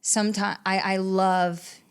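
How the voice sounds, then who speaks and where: A woman's voice: mid-range voice, little pitch movement, slow pace, smooth. A woman, chatting casually as an interview guest in a small recording studio, rambling and laughing often. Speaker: singer-songwriter guest.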